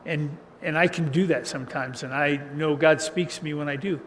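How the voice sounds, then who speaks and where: A man with a high, loud voice gives a relaxed, conversational sermon, laughing lightly and restarting his sentences. The sound carries a long hall reverb, with a faint hum of room noise underneath. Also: brisk. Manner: loose, conversational preaching with chuckles and restarts. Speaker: pastor. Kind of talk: casual sermon.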